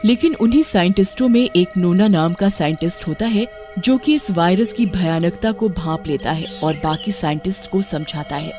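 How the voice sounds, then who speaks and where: Low-voiced woman narrating a story. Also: animated, moderate pace, over music.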